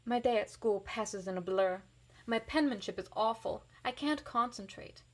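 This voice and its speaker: A woman's voice: medium pitch, moderate pace. A woman reading a picture book aloud expressively to children, with casual explanatory asides. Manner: animated, varies voice for characters.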